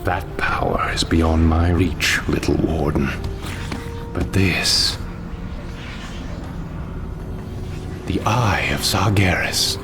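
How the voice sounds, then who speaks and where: An actor playing a brooding, vengeful, coldly arrogant elven betrayer in a fantasy game, measured and menacing. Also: male voice, low-pitched. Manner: slow, menacing, measured, condescending. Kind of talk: dramatic fantasy game voice acting.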